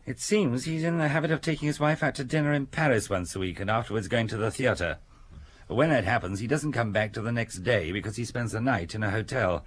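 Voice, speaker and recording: A man's voice: mid-range voice, fairly quick, a little hiss or hum, close to an everyday voice. An actor playing an earnest police subordinate in a radio drama, matter-of-fact and conversational, musing aloud to his chief. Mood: matter-of-fact, dutiful.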